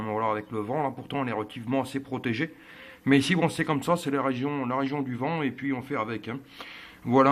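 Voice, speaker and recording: A man talking casually as an amateur radio operator, hesitantly, often repeating his phrases. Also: mid-range voice.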